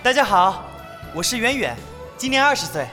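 A man in his twenties playing a boisterous, excitable young idol. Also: very high-pitched, normal speed, over music. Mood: excited. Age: 20s.

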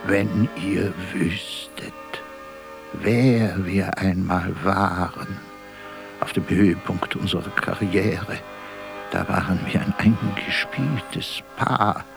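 Gravelly, slow-talking old vaudevillian. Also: male voice, low-pitched, music in the background, full character voice. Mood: wistful, halting. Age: older. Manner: theatrical, halting, breaking off and repeating himself. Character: faded old vaudeville actor, vain, rambling, forgetful, bitter. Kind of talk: radio drama monologue.

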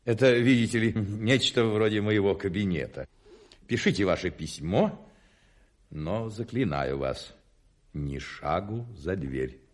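An actor in a radio play, playing a fussy, anxious hotel manager who is obsequious about gentlemen and talks in a flustered, hesitant, repetitive way. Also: male voice, lowish voice, expressive, unhurried. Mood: fussy, pleading. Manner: flustered, hesitant, repeating himself. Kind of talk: radio play acting in Russian.

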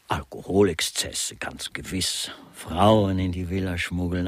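An elderly male aesthete in a radio crime grotesque. His voice is low, breathy and heavily husky, and he takes his time. He muses with affected, cynical relish, like an old gossip who savours each phrase. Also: dry recording, heavily acted. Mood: scandalized musing. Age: older. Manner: affected, musing, theatrically relishing words. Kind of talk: German crime grotesque radio play dialogue.

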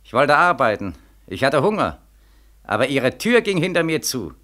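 An actor playing an embittered, desperate young soldier back from war, declaiming with bitter sarcasm in a 1940s radio play. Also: male voice, medium pitch, very animated, normal speed, sharp tone. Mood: accusing. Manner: impassioned, declamatory, bitterly sarcastic, insistently repetitive. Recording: vintage 1940s radio recording.

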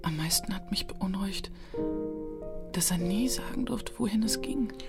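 Music behind, woman playing a sad widowed mom, low creaky half whisper, halting, radio play. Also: natural voice. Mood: sad, worried. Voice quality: breathy, whispery. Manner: subdued, halting. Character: weary, grieving young widow and mother. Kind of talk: German radio drama acting.

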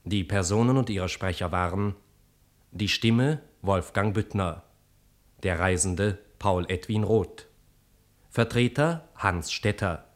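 A man's voice: deep voice, takes it slowly. A man reading radio play credits as a formal announcer.

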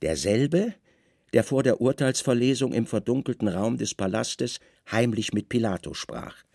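Guy narrating a radio play, really animated, bit of gravel, super dry sound. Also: medium pitch, moderate pace, no room sound. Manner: expressive, theatrical storytelling. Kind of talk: dramatic literary narration.

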